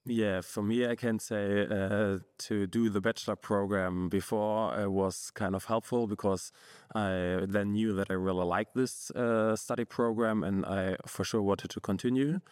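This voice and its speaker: A young man, a master's student guest answering in a relaxed, hesitant way with many fillers. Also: lowish voice, measured pace, clear, resonant tone, dry recording, studio quality. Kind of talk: podcast interview answers.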